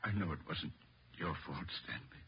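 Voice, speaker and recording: A bereaved father in a 1940s radio drama, low-voiced and halting. He holds his sorrow under tight control, on a muffled old recording with faint noise. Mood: gentle sorrow. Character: bereaved father, restrained, heavy-hearted, gentle with a child.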